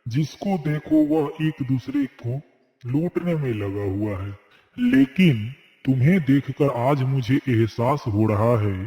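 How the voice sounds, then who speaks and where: A man's voice: medium pitch, warm tone. A man voicing a kindly, wise magical tree in a cartoon moral story, speaking in a solemn, grand manner. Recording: long cavernous reverb.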